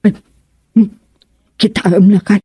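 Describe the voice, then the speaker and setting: A woman's voice: mid-range voice, fairly even intonation, unhurried, warm tone, slightly rough, dry recording, clean studio sound. A woman playing a curious, coaxing wife in a radio comedy drama.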